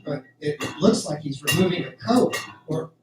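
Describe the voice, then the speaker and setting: A man playing an earnest, excitable farm-raised young hero in an audio drama, thinking aloud in exclamations. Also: on the high side, moderate pace, lightly acted. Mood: curious, uneasy. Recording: roomy, distant, music underneath.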